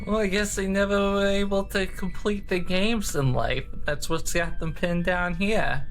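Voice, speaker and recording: A man chatting casually as a player in a tabletop roleplaying game, often halting and stammering. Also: sits high, fairly even intonation, a bit bright, a little hiss or hum.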